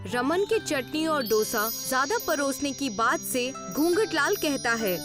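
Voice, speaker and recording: A woman's voice: high voice, expressive, unhurried. A woman reading scripted storytelling narration for a village tale. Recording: loud music behind the voice. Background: loud background music.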